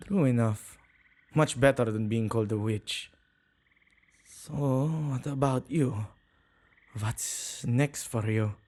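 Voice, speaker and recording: A man's voice: medium pitch, darkish tone. A man playing a shy, awkward, kind-hearted witch who tends ghosts, speaking hesitantly in an ASMR roleplay. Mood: musing, reluctant. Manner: hesitant, halting, stammering. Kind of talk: ASMR boyfriend roleplay, acted monologue.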